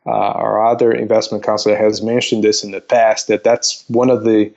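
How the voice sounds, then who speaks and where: A man speaking as a podcast guest and counselor, explaining casually and haltingly as he gives earnest advice. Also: lowish voice, little pitch movement, vocal fry, a little mellow. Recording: dull, lo-fi remote audio.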